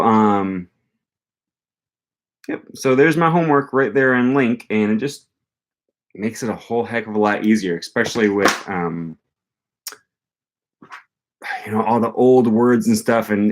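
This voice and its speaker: A young man talking casually on a live stream from a small room, rambling with many ums and restarts. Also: on the low side. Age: young adult. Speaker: language learning streamer. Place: small room with bookshelf, indoors. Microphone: webcam at desk.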